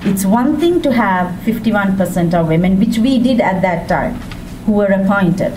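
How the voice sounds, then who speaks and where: A woman from Sri Lanka giving a public speech as a women's rights advocate, with a measured, deliberate delivery and emphatic rises. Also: mid-range voice, animated, a little mellow. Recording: distant mic with background noise.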